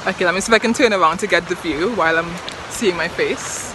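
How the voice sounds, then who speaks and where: A young woman vlogging casually while hiking, talking excitedly into a phone held at arm's length outdoors. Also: fairly low pitch. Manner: chatty, excitable, often laughing. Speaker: travel vlogger. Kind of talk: casual vlog narration. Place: outdoors on a mountain hiking trail by a stream. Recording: phone audio with rushing stream noise.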